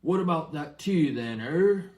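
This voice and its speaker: A man performs an enormous, friendly giant gamekeeper for a storybook. He is gruff, blunt and hearty, booming well above an ordinary talking level in homely rustic speech. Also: expressive, measured pace, darkish tone. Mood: jovial. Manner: booming, rustic colloquial talk. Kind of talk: storybook character voice.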